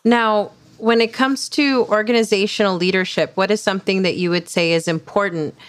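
A woman's voice: mid-range voice, steady tone, normal speed. A woman hosting an interview, asking questions in a playful, joking way. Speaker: podcast host. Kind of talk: casual interview chat.

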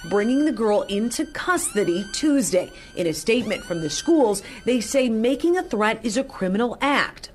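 A woman reads a TV news report in a measured broadcast manner. Her voice sits a little high. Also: sing-song, a little hiss or hum.

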